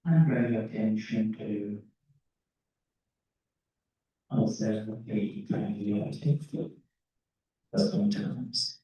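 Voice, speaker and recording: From across an echoey room, a man leads a guided meditation. His delivery is slow and measured, with pauses between short phrases. He sounds like a teacher giving step-by-step instruction. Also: fairly low pitch, dark tone, highs cut off. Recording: roomy echo, distant microphone.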